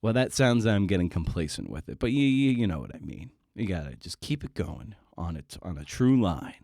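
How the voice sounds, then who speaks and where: A man's voice: low voice, very animated, a little fast, vocal fry, darkish tone, dry recording, clean studio sound. A man hosting a podcast in an informal, rambling, conversational way. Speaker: podcast host.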